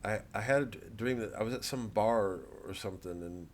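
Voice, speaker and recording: Man, comedian, rambling talk to camera, stammering restarts, swears, lowish voice. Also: brisk, slight background noise.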